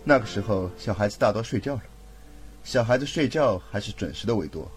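A man's voice: on the low side, expressive, a little fast, faint music behind, close to an everyday voice. An actor playing a tipsy, laid-back young man adrift at sea in an audio drama, wry and casual in manner. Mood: wistful, wry.

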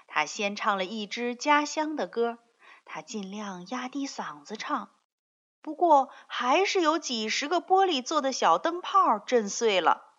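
A woman reading a scripted story for small children in an expressive, drawn-out way. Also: medium pitch, lo-fi sound.

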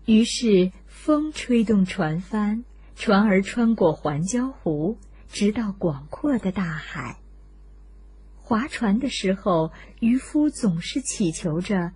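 A woman narrating a scripted children's story in an expressive, deliberate storyteller's manner, addressed to young listeners. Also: medium pitch, slightly rough, dry recording.

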